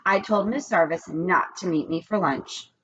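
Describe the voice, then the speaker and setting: A woman reading as a brisk, bossy female schoolteacher in a storybook, with a stern and insistent manner. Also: fairly low pitch, fairly bright tone, husky. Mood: pleased. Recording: dull lo-fi recording with background noise.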